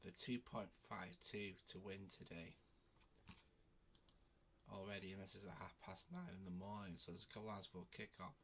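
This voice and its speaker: A man's voice: low voice, normal speed, sharp tone, slight background noise. A man with a cold hosting his betting video, talking casually with frequent ums and restarts. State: has a cold. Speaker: betting channel host. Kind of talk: casual talk to camera.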